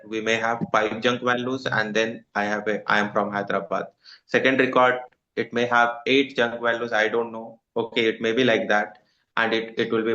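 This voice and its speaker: Halting man on a muffled call. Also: lowish voice, fairly bright tone. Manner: halting, repeats words, many fillers. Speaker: forum participant asking questions. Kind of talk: casual group discussion. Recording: dull lo-fi call audio.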